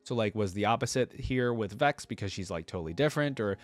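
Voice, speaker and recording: A man's voice: on the low side, lively intonation, fast, no echo. A man giving casual reaction commentary as a video host, rambling conversationally and often checking in with the listener.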